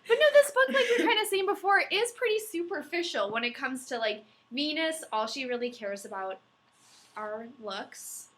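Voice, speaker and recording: A chatty woman co-hosts a podcast, giggling as she talks. Her voice is high and bright, with a lot of movement in pitch, and a faint hiss sits behind it. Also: big pitch swings, moderate pace. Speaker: podcast co-host. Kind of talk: casual chat.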